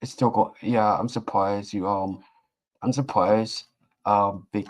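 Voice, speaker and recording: Casual male co-host, lowish voice, stop-start delivery, lots of repeats. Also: steady tone. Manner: halting, repeats himself, full of fillers. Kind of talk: casual chat.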